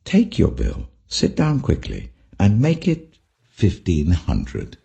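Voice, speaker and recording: A man's voice: low voice, wildly swooping pitch, takes it slowly, gravelly, dark tone, full character voice. A man voicing a shrewd, anxious household manager in a scripture parable, musing and scheming. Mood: brisk scheming.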